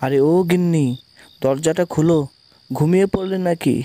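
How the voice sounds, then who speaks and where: A man's voice: on the high side, moderate pace, mellow, close to an everyday voice. A man voicing a kind-hearted, generous and humble village husband in a cartoon moral tale, earnest and familiar. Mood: hurried.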